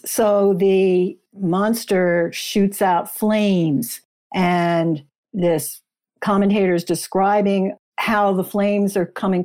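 A woman speaking as an interview guest, explaining and telling a story in deliberate, short phrases. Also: medium pitch, warm tone.

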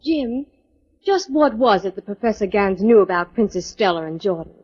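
An actress playing a curious, attentive assistant to a detective, asking questions in a 1940s radio drama style. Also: female voice, medium pitch, expressive, normal speed, darkish tone, breathy edge. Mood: inquisitive, wry. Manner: inquisitive, attentive. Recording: dull old 1940s radio recording.

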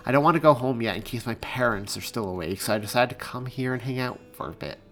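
A man's voice: medium pitch, fast talker, a bit bright, faint music behind, natural voice. A man voicing a cheeky, pleading teenage girl in an audiobook story. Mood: sighing, defensive. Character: cheeky, pleading eighteen-year-old girl next door. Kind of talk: audiobook character dialogue.

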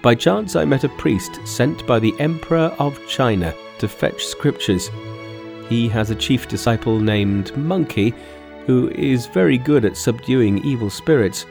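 A man voices a young prince from a storybook, grave and earnest. He speaks in formal phrases with forceful urgency, entreating his royal mother. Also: mid-range voice, a little slow, vocal fry, soft background music. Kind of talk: storybook dialogue in a narrated folk tale.

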